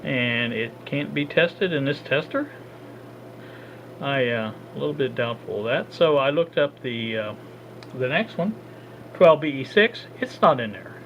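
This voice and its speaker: A man of middle pitch talks his way through hands-on work at a home bench in a relaxed, unscripted way. He stops and restarts often, filling the gaps with "uh" while he figures things out. The recording sounds dull and lo-fi, with a clear layer of steady noise behind him. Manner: halting, thinks aloud with frequent uh. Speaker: hobbyist radio restorer. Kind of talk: casual hands-on demo narration.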